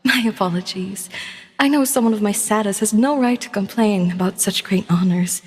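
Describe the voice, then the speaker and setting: A woman voicing a gentle, dutiful young crown princess in a fantasy audio story, with formal, refined courtly phrasing. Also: medium pitch, moderate pace, slightly rough, long reverb tail, close to an everyday voice. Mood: wistful, troubled.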